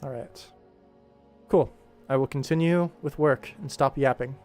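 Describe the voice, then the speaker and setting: A man's voice: mid-range voice, unhurried, darkish tone. A man streaming his animation work, thinking aloud casually and rambling to himself as he goes. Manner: rambling, muttering to himself while working. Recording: background music behind voice. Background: game soundtrack music.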